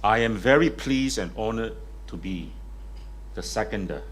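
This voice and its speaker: A man giving a formal, measured speech at a press conference. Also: fairly low pitch, lively intonation, slight background noise. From Singapore. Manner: measured, formal speaking delivery. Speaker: party leader and lawyer speaking at a press conference. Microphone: speaks without a mic at first.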